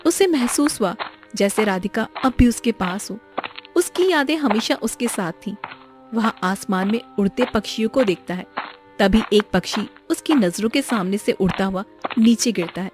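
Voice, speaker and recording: A woman's voice: medium pitch, expressive, moderate pace. A woman reading a scripted moral story for children as its narrator. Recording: music behind the voice. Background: background music.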